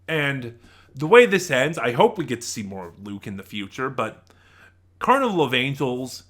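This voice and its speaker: A man giving an unscripted, opinionated review to his viewers as an online reviewer, talking emphatically with halting stops and repeated phrases. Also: mid-range voice, very animated, fairly bright tone. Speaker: YouTube audio drama reviewer.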